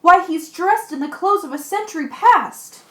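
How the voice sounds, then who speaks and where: A woman's voice: extremely high pitch, lively intonation, moderate pace, fairly bright tone. A woman playing a bold, practical, commanding ship's mate in an amateur radio play. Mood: shocked. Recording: echoey room.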